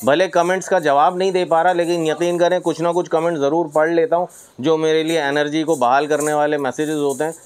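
Clear-voiced man presenting on camera. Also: on the high side, flat delivery, a little fast. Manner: chatty, peppered with pious phrases and English words. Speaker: host of livestock market videos. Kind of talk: informal on-camera sales presentation. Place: roofed block-walled goat pen.

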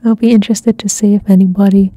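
Soft-spoken woman, husky, half-whispering. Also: medium pitch, level tone, fast, no room sound. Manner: soft-spoken, slips into whispers, many fillers. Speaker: ASMR channel host. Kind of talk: soft-spoken rambling catch-up chat. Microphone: close ASMR microphone.

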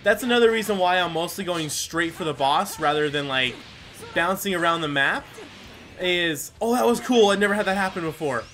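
A man's voice: high voice, animated, normal speed, bright, forceful tone. A man giving casual, rambling gameplay commentary as the host, self-deprecating and given to mild swearing. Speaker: gaming video host.

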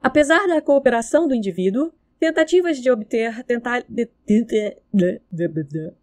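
A Brazilian woman with a high voice records scripted narration. She alternates formal reading with casual muttered asides. Her manner is self-mocking. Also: lively intonation, normal speed, darkish tone. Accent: Brazilian Portuguese. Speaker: narrator of horror fiction records.

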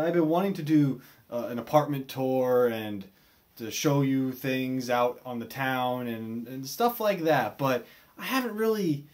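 A man in his twenties with an American accent giving a casual vlog update in a hesitant, self-deprecating, animated way, recorded in a small bedroom. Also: mid-range voice. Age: 20s. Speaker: video creator.

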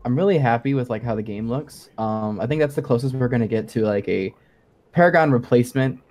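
A man with a medium-pitched voice chats as a podcast guest co-host. His talk is casual and informal, and he giggles easily. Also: fairly quick, a little mellow. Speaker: guest co-host, sound designer. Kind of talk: casual chat.

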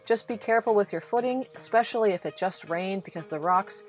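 A woman's voice: mid-range voice, brisk. A woman giving a casual, encouraging voice-over guide as a travel vlog host, speaking directly to the listener. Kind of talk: casual instructional voice-over narration. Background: background music.

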